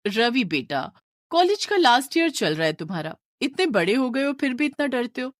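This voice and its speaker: A woman playing a firm, sensible mother of grown children in a horror story, admonishing calmly. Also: fairly high pitch, moderate pace, no room sound, studio quality.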